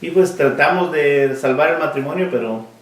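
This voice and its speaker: A man in his forties, born in Guatemala and raised in Miami, telling his life story in a relaxed interview, pausing often to search for words and slipping in English words. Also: fairly high pitch. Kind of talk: oral history interview. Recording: roomy, mic at a distance, faint noise.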